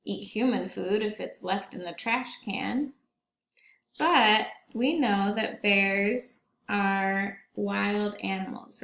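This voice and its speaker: A woman teacher reading a picture book aloud to children in a gentle, slow way, stopping to ask them questions. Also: mid-range voice, vocal fry. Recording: roomy echo.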